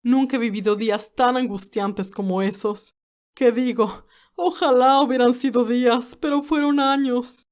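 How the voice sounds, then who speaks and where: A woman's voice: on the high side, fairly even intonation, normal speed, darkish tone, lightly acted. A woman playing a stern, haughty elderly aristocratic great-aunt who tells her story formally and dramatically, with anguish and resentment. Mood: anguished, worried. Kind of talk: first-person dramatized narration.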